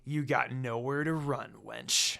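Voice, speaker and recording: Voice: medium pitch, fairly even intonation, slow, creaky, pushed and bright, full character voice. A storyteller voicing a hostile, menacing young half-demon man who growls threats in a fan fiction reading. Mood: smug threat.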